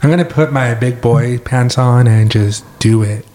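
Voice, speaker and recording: A young adult man co-hosting a podcast in casual chat, with a raspy voice and laid-back, joking replies. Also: mid-range voice, moderate pace, darkish tone. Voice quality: raspy today. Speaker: podcast co-host.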